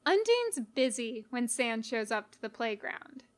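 A woman giving an expressive narration of a scripted story, as an audiobook-style reader. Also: a little high, a little slow. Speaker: podfic reader.

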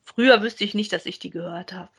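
A woman's voice: medium pitch, brisk, a bit bright. A woman with a mid-range voice co-hosts a podcast. She speaks in a casual, conversational way, as in an informal review chat. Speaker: podcast co-host. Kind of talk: casual review chat about audio dramas.

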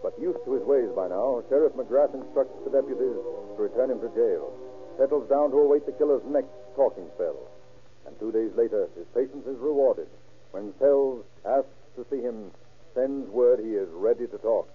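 A man's voice: mid-range voice, moderate pace, husky, faint music behind, lightly acted. A man giving formal, solemn, dramatic scripted narration in the style of an old radio crime drama. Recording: tinny old radio recording.

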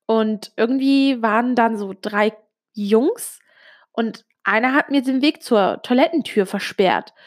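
Confiding young woman, slightly high voice. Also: unhurried. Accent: Italian-Swiss German speaker with little Swiss accent. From Switzerland. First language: Italian. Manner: confiding, addresses the listener directly, frequent fillers. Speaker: vlogger. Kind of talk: personal storytelling to camera.

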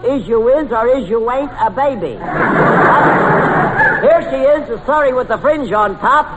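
A man's voice: very high voice, animated, moderate pace, dull audio, a loud crowd. An actor playing a wisecracking male quizmaster, announcing in a 1940s radio comedy style. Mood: jovial showmanship. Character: wisecracking male quizmaster presenting a panel of dunces. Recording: very noisy 1940s radio recording.

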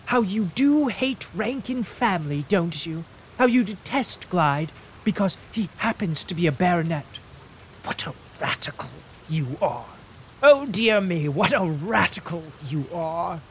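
A man voicing a fussy, peevish, languid invalid gentleman who talks in an affected, whining way. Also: high-pitched, animated, unhurried, slight background noise, voice pushed up. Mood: teasing.

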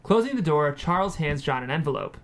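A man's voice: fairly high pitch, animated, a little fast, a bit bright. A man reading the stage directions as narrator of a screenplay table read.

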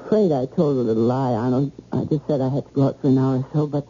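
A woman's voice: rumbling low, steady tone, quick pace, warm tone, soft background music. A woman playing a devoted, anxious wife in an old-time radio drama, coaxing and emotive. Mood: worried, alarmed. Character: devoted, soothing, anxious wife of a troubled man. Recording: dull, hissy old radio recording.

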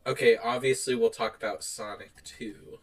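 A man co-hosting a podcast in casual chat, animated and quick to laugh. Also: medium pitch. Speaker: podcast co-host. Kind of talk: casual chat, reading out online reviews.

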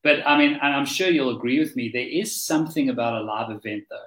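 A man's voice: mid-range voice, fast talker, a bit bright, roomy sound, rough recording. A man hosting a podcast interview over a video call, talking in a conversational, thoughtful way. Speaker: podcast host. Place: home studio on a video call.

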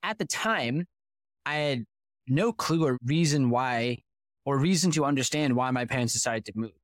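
A man in his thirties who grew up in Boston, a podcast guest and company founder telling his story in a fluent, animated way with rhetorical questions. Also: mid-range voice, moderate pace, no echo, clean studio sound. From United States (Boston). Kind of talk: casual interview storytelling.

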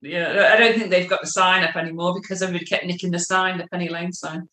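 A woman's voice: on the low side, fairly even intonation, fast, pushed and bright, roomy sound. A middle-aged woman from Cheshire with a mixed northern English accent, reminiscing in casual conversation. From Cheshire, England.

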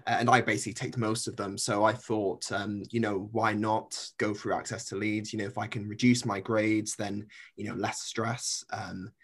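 A young man from Cornwall, a PhD student guest, talking casually on a podcast with many pauses and fillers. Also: fairly low pitch, flat delivery, fairly bright tone, husky. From Cornwall, England. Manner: hesitant, many fillers, laughs lightly.

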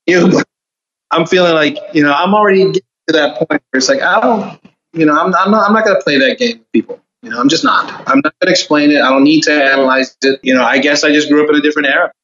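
A man's voice: a little high, fast, fairly bright tone, muffled recording, slight background noise. A man in his forties, a guest on a casual podcast, talking candidly and in a laid-back way. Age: 40s.

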